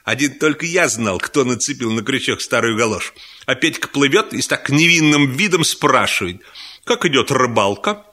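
A man narrating a humorous first-person story in an animated, playful, conversational way. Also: medium pitch, moderate pace.

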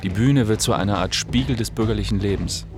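Man with a fairly low voice for measured documentary narration, set over a music bed.

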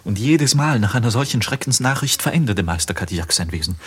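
An actor playing an anguished young goldsmith's journeyman in a 1950s German radio drama, with husky, declamatory delivery that moves from hushed to impassioned. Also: mid-range voice, lively intonation, quick pace. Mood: agitated, recounting. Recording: muffled vintage 1950s radio recording.

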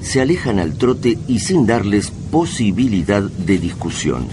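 A man's voice: lowish voice, sing-song, a little slow, noisy background. A man with an Argentine accent narrating a radio drama in a dramatic, scripted style.

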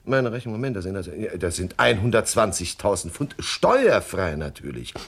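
A man's voice: on the low side, very animated, fairly quick, creaky, breathy edge, full character voice. A man playing a sly, evasive recruiter in a radio crime comedy, talking in a hesitant, coaxing, circling way. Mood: smug, calculating. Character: sly, evasive middleman recruiting for a shady job. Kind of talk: 1970s German radio crime comedy acting.

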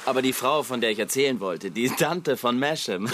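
A man's voice: medium pitch, expressive, normal speed, background noise, lightly acted. An actor playing a joking, gossipy young man chatting among friends in a naturalistic radio drama. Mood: amused.

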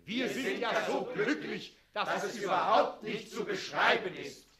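A man's voice: high voice, a little slow. An actor playing an obedient townsman chanting cheers on command in a radio play, in an echoey space with a crowd. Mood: forced jubilation. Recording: echoey room with crowd voices.

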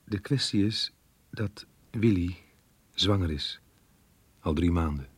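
Deep, husky, apologetic married lover. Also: male voice, dry recording, full character voice. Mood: grave, pleading. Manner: grave, measured, pleading. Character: apologetic married lover reading out his farewell letter. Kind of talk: radio play monologue.

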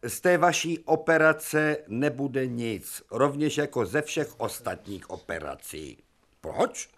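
An actor playing an imperious, sarcastic old-school professor and surgeon in a radio drama, with precise, formal, cutting diction. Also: male voice, mid-range voice, expressive, fairly bright tone. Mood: controlled indignation.

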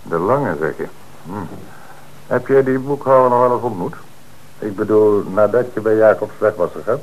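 A low male voice from an actor as a police inspector in a radio drama. He is stern and sceptical, working through an interrogation with probing, insistent questions. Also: normal speed, lightly acted, rough recording. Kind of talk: Dutch radio drama dialogue.

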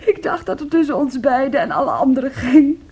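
An actress in a Dutch radio play playing an intense, wounded, possessive young woman, brooding and reproachful, with a breathy delivery. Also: high voice, very animated, fairly quick, darkish tone. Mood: tearful, hurt. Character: Andrea, intense, wounded, possessive young sister clinging to her brother.

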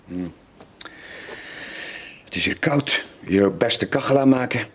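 An actor playing a weary, guilt-ridden middle-aged sailor with a Flemish accent, in a brooding radio drama style with a husky, breathy voice. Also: male voice, on the low side, animated, heavily acted. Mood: concerned. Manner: brooding, halting confession. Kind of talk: 1980s Dutch-language radio drama acting.

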